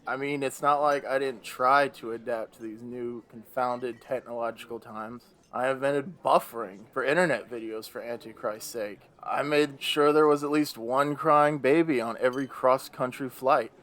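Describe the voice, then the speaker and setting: A man performs the Devil as a weary old fellow facing retirement in a radio play. He sits at a middling pitch and grumbles theatrically, with bitter, sardonic wit. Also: fairly even intonation, normal speed, hardly any pauses, a bit bright, lightly acted. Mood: grumbling.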